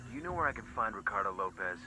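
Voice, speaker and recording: Low-voiced terse squad leader. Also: male voice, very animated, very fast, music in the background, poor audio. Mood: focused. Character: determined, terse male special forces squad leader. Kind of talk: video game cutscene acting.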